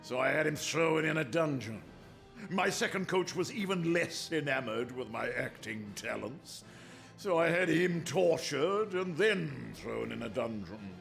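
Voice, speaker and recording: Pompous game supervillain, highish creaky voice, formal and theatrical, menacing. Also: male voice, lively intonation, measured pace, fairly bright tone, soft background music, full character voice. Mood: smugly menacing. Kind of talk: video game character acting.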